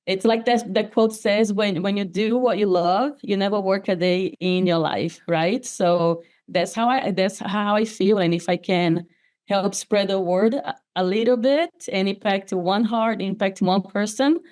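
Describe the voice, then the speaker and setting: A woman's voice: medium pitch, unhurried, darkish tone. A woman from Brazil with a Brazilian Portuguese accent, a guest on a podcast, speaking earnestly over a video call and often restarting words. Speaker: nonprofit development specialist guest. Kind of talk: podcast interview, personal story.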